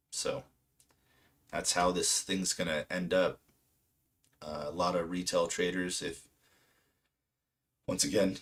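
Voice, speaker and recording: A man in his late thirties, from Poland and raised in California, chatting casually and unscripted on a livestream in a halting, self-deprecating, rambling way. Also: sits low, fairly bright tone. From Poland until age seven, then southern California. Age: late 30s. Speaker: livestream host, amateur retail trader.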